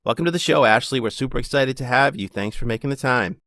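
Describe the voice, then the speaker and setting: A man's voice: mid-range voice, animated, fast talker, fairly bright tone, dry recording, clean studio sound. A man hosting a podcast interview, asking questions in an upbeat, enthusiastic way. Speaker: podcast host.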